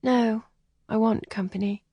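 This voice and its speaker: A woman narrator voicing a shy, distressed young woman who speaks in a breathy, trembling, often whispered voice. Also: on the high side, steady tone, unhurried, dry recording, dull audio, pitched above the performer's own voice. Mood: whispery. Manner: pleading and anxious. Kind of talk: audiobook character voicing.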